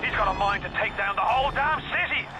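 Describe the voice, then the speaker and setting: An actor as a scrappy Irish rebel, high-pitched. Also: male voice, fast, music in the background, natural voice. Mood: alarmed. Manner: urgent, colloquial. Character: desperate, scrappy Irish rebel leader, roguish family man. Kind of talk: video game voice acting. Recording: noisy radio transmission, sounds behind.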